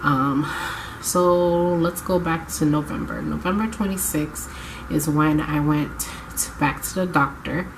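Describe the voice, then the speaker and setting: A woman's voice: low-pitched, steady tone, measured pace, noisy background. A young woman vlogging casually to camera, congested from a cold, chatty and rambling as she corrects herself. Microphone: camera mic.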